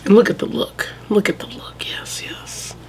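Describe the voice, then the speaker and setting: A woman's voice: moderate pace, a little mellow, whispered. Chatty young woman vlogging. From Southern United States. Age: 20s. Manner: chatty, addresses viewers as y'all, many restarts and fillers. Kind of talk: casual chat to camera.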